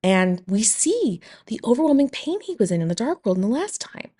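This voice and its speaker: A woman's voice: fairly high pitch, very animated, a little fast, warm tone, husky. A woman leaving a voice message as a listener, giving a thoughtful commentary in monologue.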